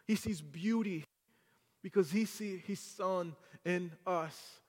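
A man's voice: high voice, takes it slowly, darkish tone, in a large hall. A man from Haiti with a French accent, preaching an earnest sermon to a congregation that builds to fervent exhortation. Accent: French-accented English. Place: church sanctuary.

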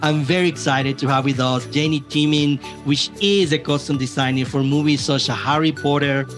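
A man hosting his podcast from his basement, talking in a lively, chatty way with small restarts. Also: on the high side, normal speed, faint music behind. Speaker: podcast host. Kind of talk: enthusiastic podcast introduction and interview. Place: home basement.

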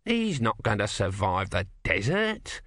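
A man's voice: on the low side, wildly swooping pitch, measured pace, fairly bright tone, no echo, clean studio sound, heavily acted. A man voicing a bossy, cynical small creature who is sardonic, evasive and pragmatic in an audiobook story. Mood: callous, persuasive.

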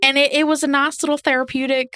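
A woman's voice: sits high, flat delivery, quick pace, bright, forceful tone, no echo, clean studio sound. A woman co-hosting a podcast in an informal, candid, chatty way. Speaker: podcast co-host. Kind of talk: casual true crime podcast chat.

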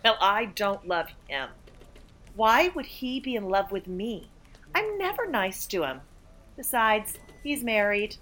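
A woman's voice: medium pitch, big pitch swings, slow, a bit bright. A woman playing an aloof, blunt saleswoman in a comedic audio play, with a dry, dismissive manner. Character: aloof, blunt, sardonic female office saleswoman named Tuesday.

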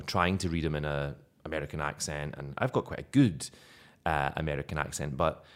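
A young Scottish man, an actor being interviewed, chatting casually and self-deprecatingly, laughing often. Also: deep voice. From Scotland.

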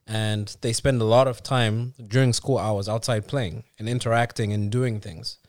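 A man's voice: fairly low pitch, fairly even intonation, normal speed, darkish tone, no echo, clean studio sound. A man from Africa co-hosting a casual podcast, explaining at length with restarts and frequent "you know"s. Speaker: podcast co-host.

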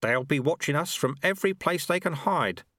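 A man voicing an ancient male monk sweeper who is fawning and sly, in a higher voice than his own. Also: lively intonation, fairly bright tone, no echo, studio quality. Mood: dry, knowing. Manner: obsequious, fawning, brisk. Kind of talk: audiobook character voice.

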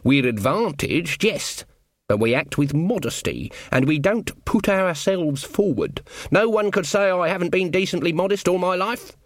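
A man's voice: high voice, big pitch swings, normal speed, dry recording, studio quality, pitched above the performer's own voice. A man voicing a stern, proud old witch woman who speaks in clipped, rustic phrases. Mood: prim.

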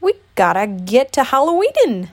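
A woman's voice: sits high, very animated, moderate pace, a little mellow, voice pushed up, full character voice. A woman voicing a bouncy, boisterous male tiger from a children's storybook, cocky and exuberant, with hooting exclamations. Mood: eager. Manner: hooting, exclamatory, folksy mangled words.

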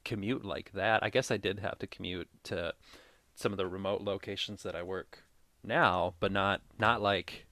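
Young male co-host, lowish pitch, dry studio sound, loose tangent-heavy chat. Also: steady tone, moderate pace, pushed and bright, dry recording. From Minnesota. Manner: rambling, tangent-prone, laughs often. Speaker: podcast co-host. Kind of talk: casual chat.

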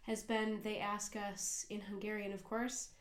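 An American woman in her young adult years chats earnestly on a podcast, meandering through her points with lots of "um"s and self-corrections. Her voice sits in an ordinary middle pitch and carries a roomy echo from the space she records in. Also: level tone, unhurried. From United States. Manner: earnest, rambling with many fillers and restarts. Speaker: podcast co-host and cafe worker. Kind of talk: casual conversational podcast. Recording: echoey room.

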